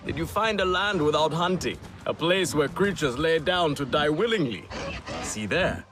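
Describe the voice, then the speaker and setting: A man's voice: fairly high pitch, lively intonation, moderate pace, fairly bright tone. A man playing a boastful, daring alien hunter in a video game, speaking in a grand, teasing and scornful manner. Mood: scornful, challenging. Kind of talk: video game character acting.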